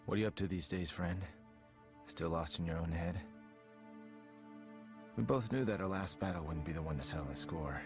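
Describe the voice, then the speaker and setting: A proud fighter turned business tycoon from an animated film. The voice is very low, cool and sure of itself. Strong music sits under it. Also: male voice, normal speed, long pauses. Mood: brooding, reflective. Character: cocky, brooding male fighter turned tycoon.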